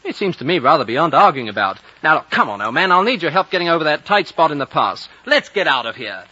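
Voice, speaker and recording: Hot-headed young Briton for vintage radio drama: higher-pitched, sharp, insistent, scornful, on a dulled old broadcast. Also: male voice, big pitch swings, quick pace, heavily acted. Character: impatient, hot-headed, sceptical young British man. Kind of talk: 1950s radio drama acting. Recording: dull, band-limited 1950 radio broadcast recording.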